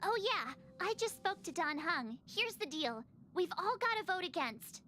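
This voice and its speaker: A peppy young girl in an anime-style game. Her voice is high and bright, with animated swings of pitch. She is brash and quick to take offence. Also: faint music behind, exaggerated voice. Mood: indignant. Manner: brash, emphatic, indignant. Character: peppy, hot-headed young girl crew member.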